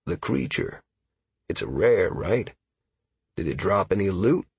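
A man's voice: low voice, big pitch swings, a little slow, creaky, darkish tone, slightly rough, no room sound, exaggerated voice. A man voicing a weary, sarcastic adventurer who mutters and mumbles in a fantasy audiobook. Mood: curious, tired.

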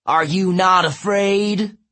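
A man voicing a huge, cruel male giant in a storybook, growling out slow, drawn-out taunts. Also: high voice, steady tone, pushed and bright, voice pushed up, heavily acted. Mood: gloating menace.

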